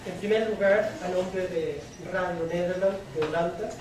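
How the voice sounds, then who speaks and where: A man speaking formally and in a measured way as the moderator of a public panel debate. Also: high-pitched, steady tone. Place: large echoey room at a public event. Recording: echoey hall, distant mic, faint noise.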